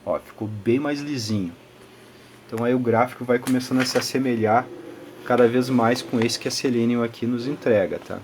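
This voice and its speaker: A man with a Brazilian Portuguese accent gives an informal, halting technical explanation, often adding short check-in tags as he teaches. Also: medium pitch. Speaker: audio channel host and reviewer. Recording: clear background noise, room ambience.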